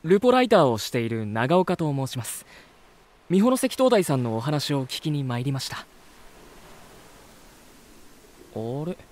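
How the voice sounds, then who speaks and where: A male actor playing an earnest, easily amazed travel reporter in a voice drama, gentle, polite and expressive. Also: fairly high pitch, a little fast. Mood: polite, flustered. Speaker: voice actor narrating as lead character. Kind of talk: first-person voice drama narration and dialogue.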